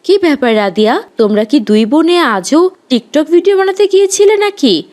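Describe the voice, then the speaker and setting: A woman's voice: sits high, sing-song, normal speed, a little mellow. A woman playing an exasperated, protective middle-aged mother in a cartoon, with an emphatic, scolding manner. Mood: questioning, exasperated.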